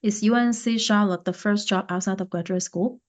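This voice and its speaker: A woman hosting an interview podcast, putting questions to her guest in deliberate, somewhat halting phrases. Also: medium pitch, steady tone. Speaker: podcast host. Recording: muffled lo-fi podcast recording.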